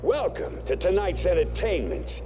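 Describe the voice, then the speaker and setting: A man's voice: sits high, huge pitch swings, normal speed, warm tone. An actor playing a grandiose, menacing male mob boss in a video game, taunting in a showy manner. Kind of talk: video game villain acting. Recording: music behind the voice.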